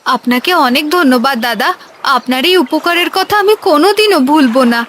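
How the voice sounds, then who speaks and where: Woman playing a high-voiced earnest village woman. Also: expressive, fairly quick, a bit bright, a little hiss or hum. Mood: earnest, grateful. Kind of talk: cartoon story dialogue.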